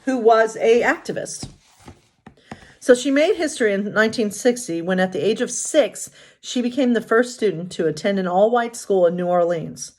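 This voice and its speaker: Woman, mid-pitched voice, pastor and story host, read-aloud delivery, informal, expressive. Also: moderate pace.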